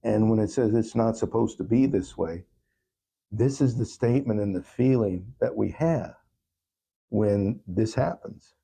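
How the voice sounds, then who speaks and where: A man's voice: lowish voice, unhurried, gravelly, mellow, slight background noise. A man speaking earnestly as an interview guest, reflecting on his songwriting and repeating phrases for emphasis. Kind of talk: reflective interview talk about own songwriting.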